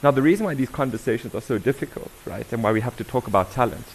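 Lively South African man quizzing an audience. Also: mid-range voice, very fast, slight background noise, low-quality recording. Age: young adult. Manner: lively, questioning the audience directly. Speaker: diversity workshop presenter. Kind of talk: interactive conference talk.

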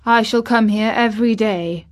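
A woman's voice: fairly high pitch, normal speed, voice pushed up, natural voice. A woman voicing a lordly young boy who gives orders in a decisive, condescending manner, as in a storybook. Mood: lordly, commanding. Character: imperious young boy, lordly, commanding, eager.

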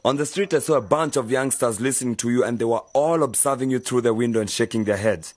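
A husky male voice from an actor plays a sensible older brother in a radio drama. He is patient and measured, laying out advice point by point. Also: mid-range voice, no room sound, natural voice. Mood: calm, approving. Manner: measured, explanatory.

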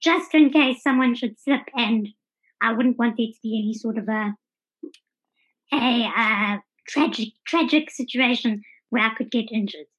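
A woman's voice: a little high, measured pace, a bit bright, husky, highs cut off. A South African woman in her thirties giving articulate, orderly answers as a guest in a conversational interview. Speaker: podcast guest.

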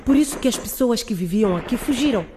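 An actress plays an anxious aunt in a radio drama, against noticeable noise. Her delivery is animated, resentful and insistent, full of complaint. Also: fairly high pitch, fairly quick, lightly acted. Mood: anxious, pleading.

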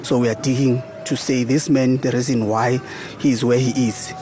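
A man's voice: mid-range voice, level tone, normal speed. A man from South Africa, a theatre director and scriptwriter, earnestly explaining his work in an interview. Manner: earnest, explaining at length with restarts. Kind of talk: interview talk. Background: music.

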